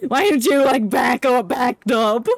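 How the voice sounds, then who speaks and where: A woman's voice: fairly high pitch, a little slow, gravelly. A woman game streamer giving casual, joking, irreverent live commentary. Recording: faint game music behind. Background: video game music.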